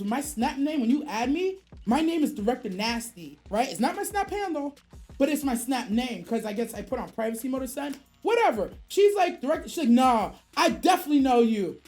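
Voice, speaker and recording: A woman's voice: sits high, a little mellow. A woman streamer telling a casual story in profane slang, acting out both sides of the dialogue.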